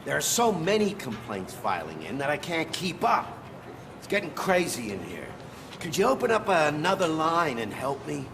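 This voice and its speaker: A harried male desk cop, high-pitched and animated, frazzled and overwhelmed. It is video game acting over clear background noise. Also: moderate pace. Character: harried, overwhelmed policeman begging for help.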